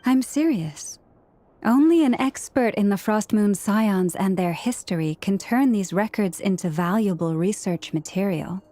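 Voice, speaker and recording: A woman's voice: medium pitch, animated, measured pace, warm tone, natural voice. Actress as a composed, formal scholarly woman. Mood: earnest, persuasive. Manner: formal, articulate. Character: composed, earnest scholarly woman, businesslike negotiator. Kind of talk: video game character acting.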